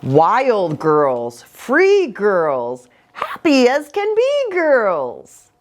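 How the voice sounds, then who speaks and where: A woman hosts storytime for young children with hugely swooping, animated intonation. She takes her time, asking questions and adding asides as she reads aloud. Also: mid-range voice, very slow. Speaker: children's ministry host and pastor.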